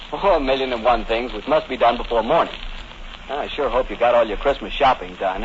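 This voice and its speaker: Folksy American radio announcer, muffled old recording. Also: male voice, mid-range voice. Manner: folksy, genial. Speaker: announcer and host. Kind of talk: 1940s radio sponsor announcing, chummy scripted chat. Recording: muffled 1940s radio recording, faint noise.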